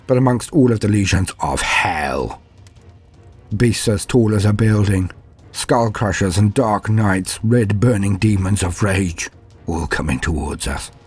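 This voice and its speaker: A man performs a dutiful medieval fantasy knight, afraid yet steadfast, in a deep, low voice. He tells his tale as solemn audio drama, with grave, archaic phrasing and dramatic pauses, over faint music. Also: breathy edge, heavily acted. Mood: horrified awe. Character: frightened but dutiful male knight recounting doomed battle. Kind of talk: dramatic first-person audio drama narration.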